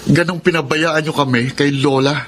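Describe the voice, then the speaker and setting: A man's voice: fairly high pitch, steady tone, moderate pace, close to an everyday voice. A man acting as a resentful, defiant Filipino son in his twenties in a radio drama, sullen and accusing. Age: 20s. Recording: noisy, with ambience behind.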